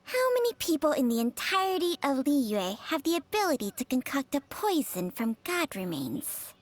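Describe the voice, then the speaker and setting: A woman voicing a sly, ancient female snake spirit in a video game, talking low and hushed, sometimes whispering and teasing. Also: sing-song, moderate pace, dry recording. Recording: game audio with background music.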